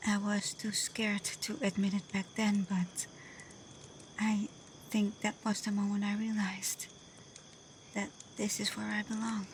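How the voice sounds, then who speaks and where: A woman playing a gentle, devoted kitsune in love in an intimate ASMR roleplay, speaking tenderly and hesitantly, often dropping to a breathy whisper. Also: mid-range voice, flat delivery, a little slow, a little hiss or hum, lightly acted. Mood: affectionate, shy. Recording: faint cricket and night insect ambience.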